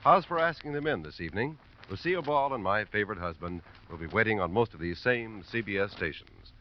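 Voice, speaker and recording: A man's voice: fairly low pitch, animated, brisk. An American man doing scripted announcing on a 1950s radio broadcast. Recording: muffled old radio recording, faint hiss.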